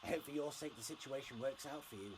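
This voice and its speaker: An actor playing a menacing, smugly polite government enforcer in an audio drama, speaking formally and condescendingly. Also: male voice, mid-range voice, fast talker, background noise, lightly acted. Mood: cold threat. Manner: formal, condescending, veiled threats.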